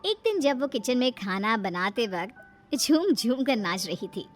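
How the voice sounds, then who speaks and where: A woman's voice: a little high, very animated, unhurried, soft background music. A woman narrator reading a scripted cartoon story.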